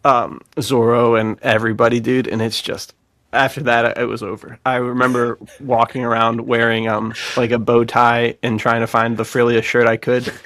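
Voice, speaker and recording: Casual male podcast host, enthusiastic bro-talk, creaky mid-range voice, very dry recording. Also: medium pitch, normal speed, no echo. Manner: excitable bro-slang talk.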